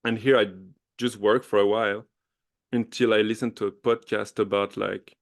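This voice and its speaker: A man's voice: fairly low pitch, level tone, moderate pace, creaky. A man in his thirties with a French accent, chatting eagerly as a podcast guest, often laughing and restarting his sentences. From France. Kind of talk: casual interview chat.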